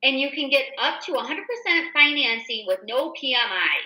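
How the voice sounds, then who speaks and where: American female host, very bright voice, informal and explanatory, recorded in an echoey room. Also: high voice, animated, normal speed. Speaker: show host and real estate agent. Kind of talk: casual reading of a newsletter with commentary.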